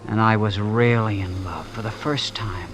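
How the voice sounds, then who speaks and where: A man's voice: fairly low pitch, normal speed, over music, natural voice. An actor in an old-time radio drama playing an earnest, lovestruck young man, nervous and indignant, with a hesitant, stammering delivery. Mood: wistful, narrating. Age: young adult. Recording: noisy vintage radio broadcast recording.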